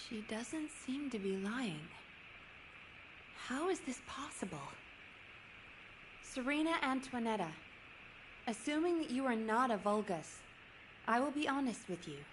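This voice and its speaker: A businesslike female operations commander with a fairly high voice. She is direct, measured and formal, heard over faint noise. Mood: astonished, careful. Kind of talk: scripted video game dialogue.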